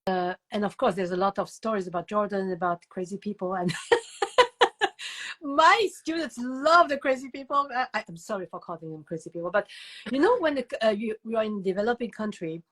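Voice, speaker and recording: Older woman, mid-pitched, interview guest, conversational, animated storyteller, digressive, emphatic repetition. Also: moderate pace. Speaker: guest author and educator.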